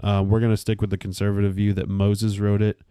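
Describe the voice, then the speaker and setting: A young man in his twenties leads a casual Bible podcast, his voice slightly low and recorded dry and close. He talks loosely and slangily, working through the facts with pauses and fillers. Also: smooth, dry recording. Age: 20s. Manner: relaxed, slangy, says "dude" a lot, explains with pauses. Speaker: podcast co-host.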